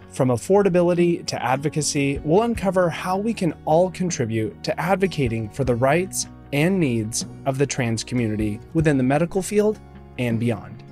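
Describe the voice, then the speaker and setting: A man hosting a podcast, reading a scripted introduction and asking interview questions in a measured way. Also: fairly high pitch, darkish tone. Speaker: podcast host.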